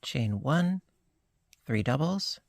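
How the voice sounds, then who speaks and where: A slightly hoarse crochet teacher walks through each stitch at a slow, unhurried pace. The voice has a gravelly fry and sits dry and close, with no room around it. The delivery stays calm and patient throughout. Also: medium pitch, dry recording. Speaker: crochet instructor. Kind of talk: step-by-step crochet tutorial.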